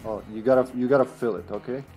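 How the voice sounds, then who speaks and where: A man's voice: medium pitch, quick pace, dark tone, soft background music, low-quality recording. Chatty man streaming a game on headset. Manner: chatty, laughs often, trails off. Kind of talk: casual gameplay commentary. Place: indoor room at home.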